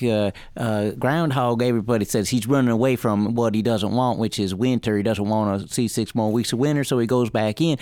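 A man's voice: medium pitch, darkish tone, no echo. A man who is a guest on a casual radio talk show in a studio, speaking in a joking, loose and meandering way.

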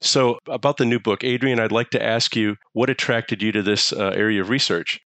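A man hosting an interview podcast, introducing guests and asking questions in a polite, steady way. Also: fairly low pitch, brisk, no echo. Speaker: podcast host.

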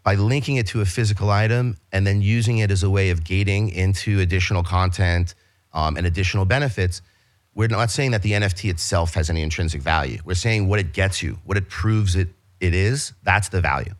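A man's voice: sits low, a little fast. A man with a New York accent, a business guest talking in a casual interview, eagerly explaining his company with many restarts and fillers.

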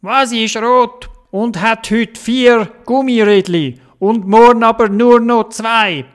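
A man's voice: very high voice, slow pace, clear, resonant tone, soft background music, pitched above the performer's own voice. A man with a Swiss German accent voicing a bossy, riddle-posing frog in a children's story, punctuating his speech with quacks. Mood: teasing, riddling.

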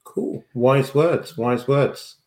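A man's voice: medium pitch, extremely slow, a little mellow. Man interviewing casually from an echoey room. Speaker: podcast host.

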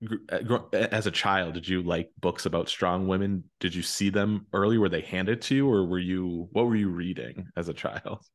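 A man's voice: low voice, steady tone, normal speed, few pauses. A man hosting a casual book podcast, speaking informally in a rambling, self-correcting way. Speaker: podcast host. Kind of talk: casual conversational book interview.

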